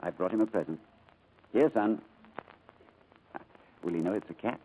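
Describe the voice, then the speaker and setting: An actor playing a gentle, excitable new father in 1940s radio style, eager and prone to stammering. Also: fairly low pitch, moderate pace, phone-quality sound, close to an everyday voice. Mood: tender, teasing. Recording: noisy old radio recording.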